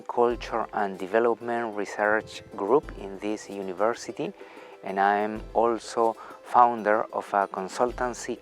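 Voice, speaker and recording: Slow, halting man. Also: lowish voice. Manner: halting, with frequent uh pauses. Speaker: psychology professor. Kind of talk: recorded lecture for students. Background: faint music.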